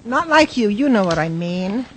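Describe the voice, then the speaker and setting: A woman acting the part of a touchy, playful wife in a radio drama, with a husky voice. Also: medium pitch, very animated, moderate pace, a bit bright, slight background noise, rough recording. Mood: curious. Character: touchy, playful, anxious wife of a bar owner.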